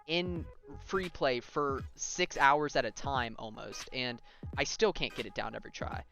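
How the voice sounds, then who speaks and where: A man's voice: mid-range voice, expressive, measured pace, gravelly, pushed and bright, faint music behind. A young man giving a casual video game tutorial, talking informally and directly to viewers and often checking in with them. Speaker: gaming tutorial host.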